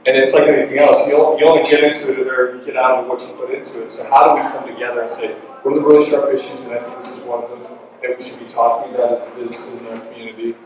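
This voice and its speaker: A man's voice: medium pitch, flat delivery, a crowd in the background. A man speaking at a live event, rambling and restarting often as he introduces the next speaker. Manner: rambling, halting with many restarts and fillers. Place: large event room with an audience. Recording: echoey hall, mic from a distance.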